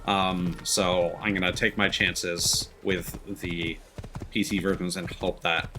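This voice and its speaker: A man doing casual livestream commentary as a game streamer, talking in a rambling, halting, sweary way. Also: deep voice, level tone, background noise.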